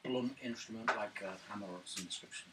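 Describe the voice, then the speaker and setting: A man's voice: fairly low pitch, fast talker, fairly bright tone. A man chatting casually as a player in a tabletop roleplaying game. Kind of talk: casual banter. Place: indoors, around a gaming table. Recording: distant mic with background noise.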